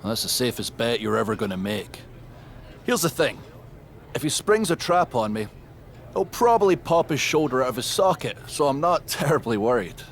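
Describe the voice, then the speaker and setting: A man's voice: mid-range voice, big pitch swings, moderate pace. A man acting as a confident, scornful pro wrestler in a game cutscene, dismissive and swaggering. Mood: cocky.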